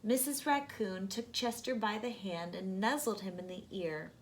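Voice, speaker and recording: A woman hosting storytime, narrating a children's book with deliberate phrasing for young listeners. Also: mid-range voice, background noise. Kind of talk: children's storybook read-aloud.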